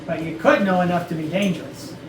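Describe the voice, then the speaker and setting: A woman's voice: fairly low pitch, brisk, lightly acted. A woman playing a blunt, sardonic investigator in a murder mystery comedy reading, with a dry, probing, assertive manner. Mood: brisk. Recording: distant mic, echoey noisy room.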